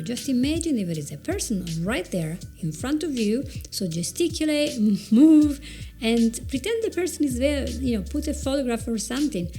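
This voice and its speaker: A middle-aged woman with an Italian accent, giving video presenting tips in an earnest, chatty teaching monologue, speaking slowly with emphatic stresses. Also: medium pitch, warm tone.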